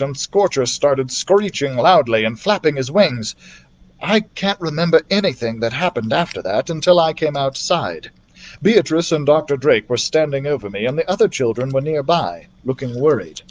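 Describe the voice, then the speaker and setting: A man reading a children's adventure story aloud as a library storyteller, in an expressive narrating style. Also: a little high.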